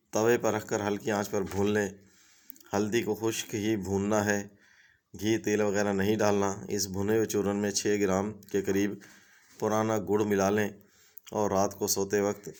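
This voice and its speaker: Male host, low and level voice, instructive reading of remedy tips. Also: a little mellow. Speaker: home remedies channel host.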